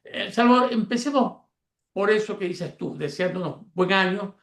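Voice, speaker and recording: A man's voice: sits high, moderate pace, a bit bright. A man, hosting a radio interview and asking long, winding questions. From Ecuador. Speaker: radio show host. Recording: echoey room.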